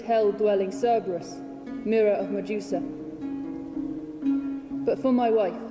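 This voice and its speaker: A woman reads poetry dramatically at a slow, deliberate pace, leaving weighted pauses. Her voice rings in a large hall, with plucked string music clearly audible behind her. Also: medium pitch. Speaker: reader of own poetry translation. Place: large hall at a public reading.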